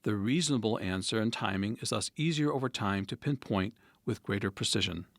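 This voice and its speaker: Male priest, low pitch, formal deliberate essay reading, close dry sound. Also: vocal fry, a bit bright, no room sound.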